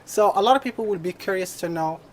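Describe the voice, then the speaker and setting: A man interviewing a guest, asking questions in an upbeat, quick manner. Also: sits high. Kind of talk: casual interview.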